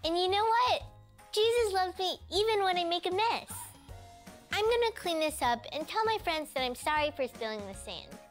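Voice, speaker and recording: A performer playing a small, earnest, excitable child character who talks to young viewers in an exclamatory way. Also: very high voice, normal speed, faint music behind. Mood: cheerful, grateful. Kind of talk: children's show acting, addressing young viewers.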